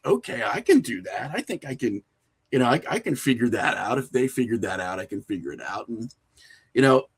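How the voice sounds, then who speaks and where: A middle-aged man with an American accent talks casually as a podcast guest. He tells stories in an animated way, slipping into little acted-out bits of dialogue. His pitch sits in an average male range. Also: breathy edge. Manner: animated, acts out imagined dialogue. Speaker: podcast guest, independent filmmaker. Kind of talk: casual storytelling in an interview.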